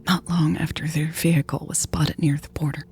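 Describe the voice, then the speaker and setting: A woman reader voicing a guilty, tearful woman who pleads with her lover softly and hesitantly in a romantic audio story. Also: low voice, little pitch movement, normal speed, soft background music. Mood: soft, pleading. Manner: soft, hesitant, breathy confessing. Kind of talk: audio fiction dialogue reading.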